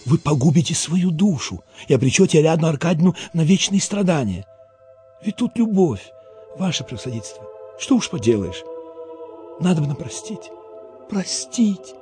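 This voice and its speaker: A timid young clerk, voiced by a male narrator, speaks earnestly and deferentially in a fairly high, lively voice. His nervous politeness marks him as a junior official in an audiobook. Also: normal speed, faint music behind. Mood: earnest pleading.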